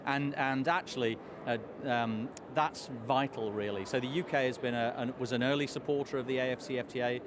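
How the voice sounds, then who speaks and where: A hoarse man, a trade commissioner, answering interview questions in a measured way with many fillers. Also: medium pitch, fairly even intonation. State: hoarse, losing his voice. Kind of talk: live outdoor interview answers. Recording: street noise behind.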